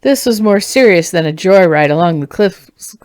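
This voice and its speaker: A woman reads a fantasy tale aloud in an expressive storytelling style. Her voice sits a little low for a woman. The sound is dry, with very little room in it. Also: moderate pace, dry recording. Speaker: author reading own novel.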